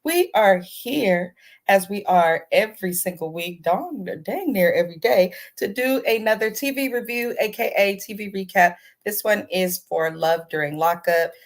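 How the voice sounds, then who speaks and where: A woman's voice: mid-range voice, lively intonation, moderate pace. A woman hosting a casual, chatty TV recap, speaking informally to her viewers with a slightly congested voice and a sore throat. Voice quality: slightly congested, not crisp. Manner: informal, addresses viewers directly as "you guys". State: under the weather, sore throat with throat clearing. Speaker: host of a TV recap channel.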